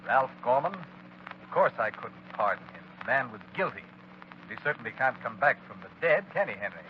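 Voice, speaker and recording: A man's voice: mid-range voice, lively intonation, moderate pace, lightly acted. Man acting a pompous governor, muffled radio. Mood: scornful. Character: pompous, scornful, stern state governor. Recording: muffled, hissy 1930s radio broadcast recording.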